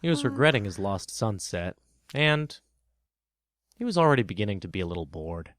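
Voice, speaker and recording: A man narrating a children's story as scripted, expressive storybook narration. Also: lowish voice, moderate pace, no room sound, studio quality.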